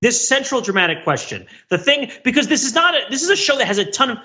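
Man, bright forceful voice, lively swooping intonation, rambling analytical podcast chat, slightly dull recording. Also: very high voice, fast talker. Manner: rambling, analytical, self-interrupting. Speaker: podcast co-host. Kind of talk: casual pop-culture discussion.

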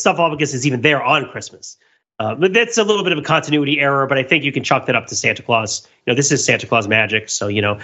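A man hosting a casual comedy podcast, retelling stories in an animated, rambling way full of tangents. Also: mid-range voice, husky. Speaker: podcast host. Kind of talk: casual comedic pop-culture discussion. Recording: dull lo-fi podcast audio.

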